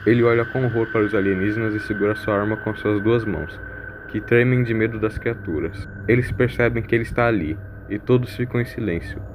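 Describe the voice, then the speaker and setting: A man's voice: lowish voice, steady tone, fairly quick, background noise. A man narrating a scripted science-fiction audio drama as its storyteller.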